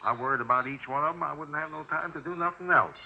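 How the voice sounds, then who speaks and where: An American actor playing a sullen, indignant landlord, blustering and defensive, in a 1950s radio drama. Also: male voice, fairly high pitch, fast talker, natural voice. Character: sullen, indignant male landlord under questioning. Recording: muffled, hissy 1950s radio recording.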